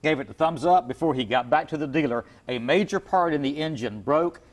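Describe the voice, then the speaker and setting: A man's voice: on the high side, fairly quick. Folksy, plain-spoken male car dealer. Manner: folksy, plain-spoken, repeats himself, restarts. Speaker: import car shop owner, guest. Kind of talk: TV interview, giving advice.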